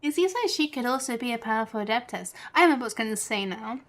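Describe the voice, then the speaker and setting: A woman's voice: on the high side, expressive, very fast, slight background noise. A woman doing casual livestream commentary on a video game, chatty and giggly. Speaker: game streamer. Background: game music.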